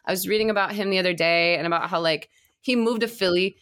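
A woman's voice: on the low side, fast, fairly bright tone. A woman chatting casually and enthusiastically as a co-host of a baseball fan podcast. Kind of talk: casual chat.

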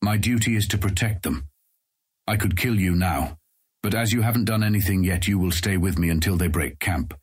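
A man playing a cold, stern ranger in a fantasy audiobook, speaking in a flat, husky voice with curt, formal commands. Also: low-pitched, normal speed, dry recording, clean studio sound. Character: cold, stern ranger guarding the road, older than Raylan. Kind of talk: full-cast fantasy audiobook acting.

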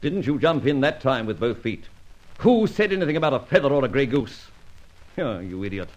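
An actor playing a cool, wry gentleman adventurer in an old radio serial, speaking in a clipped, brisk and self-assured way. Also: medium pitch, sing-song, poor audio. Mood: sly, mocking. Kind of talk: 1940s-50s radio adventure serial acting, first-person narration and dialogue.

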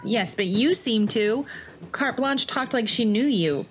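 An actress playing a stubborn, quippy swashbuckling heroine in an audio drama, with a theatrical manner. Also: female voice, fairly high pitch, animated, unhurried, faint music behind. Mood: defiant.

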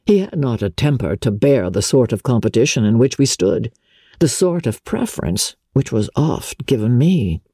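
Classic storybook gentleman officer performed by a woman: genteel, aggrieved, confiding, soft-edged husky delivery with expressive swings. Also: extremely low pitch, no echo, clean studio sound, exaggerated voice. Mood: restrained bitterness. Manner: measured, plaintive, confiding. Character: smooth-talking, wronged young gentleman officer, wounded and genteel.

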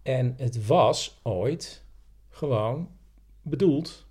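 A man's voice: medium pitch, expressive, very slow, darkish tone, husky. A man co-hosting a podcast, telling stories and explaining things in a casual, conversational way. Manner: explanatory, anecdotal. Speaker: podcast co-host.

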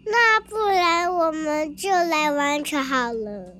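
A very high, squeaky little rabbit from a children's storybook, childlike and stubborn. It drags its words out slowly, with music playing underneath. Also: bright, forceful tone, full character voice. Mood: matter-of-fact.

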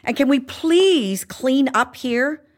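A woman's voice: high voice, lively intonation, takes it slowly. An actress playing an exasperated, stern female royal physician in a comedic fantasy audio drama, dry-witted and long-suffering.

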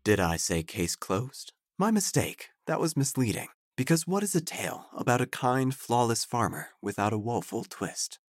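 An actor playing a wry, nosy small-town narrator, delivering formal, theatrical, deadpan comic audio drama narration over music. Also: male voice, medium pitch, normal speed, hardly any pauses, dry recording, studio quality. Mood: wry, solemn.

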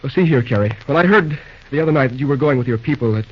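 An actor playing a glum, shyly lovesick young railroad boss in an old-time radio drama, speaking in a hesitant, folksy, husky way. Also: male voice, mid-range voice, animated. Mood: shy, tender. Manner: hesitant, folksy, halting delivery. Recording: dull, lo-fi old radio recording.

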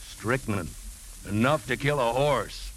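A man's voice: mid-range voice, takes it slowly, a bit bright. A man playing a folksy, knowing shopkeeper who sells poisons, in a 1950s radio drama. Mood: amused, knowing. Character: chatty, chuckling male shopkeeper selling poisons. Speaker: actor in a radio play. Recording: noisy, hissy 1950s radio recording.

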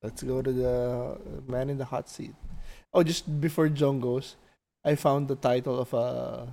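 A man's voice: medium pitch, steady tone, a little slow, gravelly, dark tone. A man co-hosting a casual podcast chat, keeping things moving with brief, upbeat remarks. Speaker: podcast co-host.

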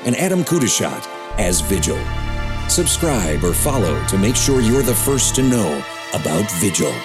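A man's voice: on the low side, sing-song, normal speed. A man reading a scripted trailer announcement for an audio fiction series. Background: music.

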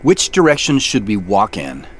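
A man's voice: on the low side, huge pitch swings, normal speed, a little hiss or hum. An actor playing an earnest, puzzled man in an audio drama, hesitant and thinking aloud in natural dialogue. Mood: puzzled, frustrated. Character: earnest, puzzled man searching woods at night for treasure.

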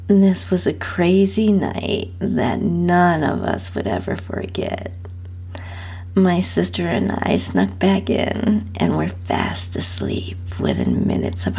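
A woman narrating an intimate first-person adult story in a breathy, half-whispered delivery. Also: on the low side, mellow. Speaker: host and narrator reading own story.

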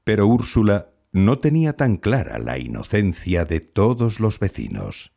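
A man playing a true-crime podcast narrator, delivering measured, suspenseful scripted documentary narration. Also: fairly low pitch, wildly swooping pitch, vocal fry, dark tone, heavily acted. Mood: measured, grave.

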